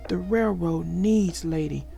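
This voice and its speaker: A woman's voice: fairly low pitch, takes it slowly, creaky, warm tone, pitched below the performer's own voice, heavily acted. A woman playing old grandfather, storybook character, sorrowful, subdued delivery, music behind. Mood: sad, subdued. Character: lonely, sad grandfather tinkering in workshop.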